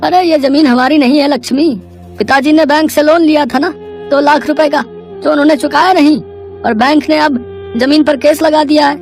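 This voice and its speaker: A woman's voice: high-pitched, steady tone, normal speed, darkish tone, soft background music. A woman voicing a greedy, sly elder brother in a moral story, coaxing and falsely kind. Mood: grave, complaining. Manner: coaxing, hesitant openings.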